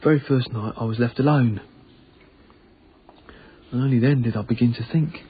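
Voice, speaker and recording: A British actor playing an earnest, emotional young Victorian working man in a radio drama, with halting, formal phrasing. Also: mid-range voice, natural voice. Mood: earnest, moved. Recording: narrow tinny band, faint background noise.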